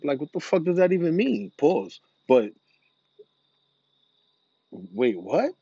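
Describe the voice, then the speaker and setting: A man's voice: on the high side, very animated, slow, mellow, breathy edge, dry recording, lo-fi sound. A man in a casual, rambling monologue, laid-back and profane, full of slang. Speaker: vlogger. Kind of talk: casual monologue about smoking.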